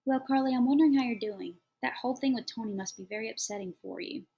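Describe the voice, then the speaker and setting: Audiobook: woman voicing a warm-toned, coaxing foster mom, pitched up a bit, a little louder than the narration. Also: fast, breathy edge. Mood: gentle. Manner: gentle, coaxing, sharpens when upset. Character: caring but strained foster mother, woman. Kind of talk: audiobook character voice.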